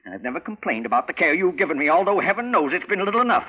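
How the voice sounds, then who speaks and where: Mean whiny old invalid uncle, old radio play, voice swoops around, thin crackly sound. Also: male voice, sits high, very animated, very fast, slightly rough, heavily acted. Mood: indignant, angry. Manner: querulous, self-pitying, hectoring. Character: cranky, bullying old invalid uncle in a wheelchair. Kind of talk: 1940s radio drama acting. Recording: noisy, narrow-band vintage radio recording.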